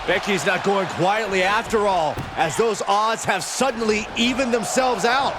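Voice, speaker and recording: Shouting, very high-pitched male wrestling commentator. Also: a little slow. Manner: shouting, dramatic. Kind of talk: excited sports commentary. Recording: loud arena noise behind commentary.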